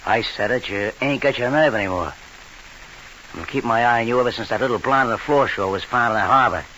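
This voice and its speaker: An actor playing a tough, menacing nightclub owner in a 1940s radio crime drama, needling in hard-boiled slang. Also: male voice, medium pitch, quick pace, sharp tone, close to an everyday voice, poor audio. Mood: scornful, accusing. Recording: hissy old radio broadcast.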